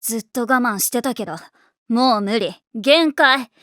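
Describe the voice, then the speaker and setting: A woman voice-acting a brash, hot-tempered high school tomboy in an anime, with rough, slangy, boyish speech and a blunt, defensive manner. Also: a little slow, pushed and bright, no echo, studio quality. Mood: hurt, angry.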